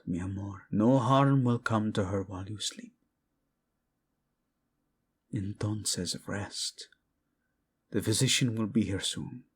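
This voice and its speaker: A man's voice: lowish voice, breathy edge. A man playing a proud, vengeful young king, fiercely protective of his wife, in a dramatic romance audio roleplay. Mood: tender, soothing. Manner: brooding, commanding, tender in endearments.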